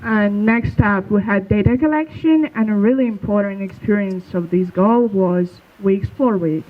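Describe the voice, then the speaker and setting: A young man from Belarus presenting a school project in a casual, slightly halting way. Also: high voice, slight background noise. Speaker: student presenter. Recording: tinny phone-like sound.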